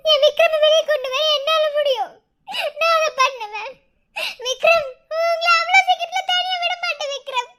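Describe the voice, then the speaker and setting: A woman's voice: very high voice, unhurried, long pauses, slight background noise. A woman playing an anguished but determined wife, making emotional, resolute declarations in a family melodrama. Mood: tearful resolve. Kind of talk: melodramatic family drama acting.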